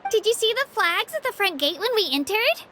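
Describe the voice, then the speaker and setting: A woman's voice: very high voice, sing-song, a little fast, pushed and bright, slight background noise, full character voice. A woman voicing a sweet, eager young girl who is a little unsure of herself, in a video game, with an earnest, childlike manner. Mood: cheery, eager. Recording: game music behind.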